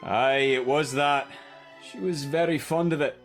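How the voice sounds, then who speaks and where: A man playing a gruff but loyal old gardener in an audio drama, blunt and rustic. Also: a little high, unhurried, soft background music. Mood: respectful. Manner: plain-spoken rustic, blunt.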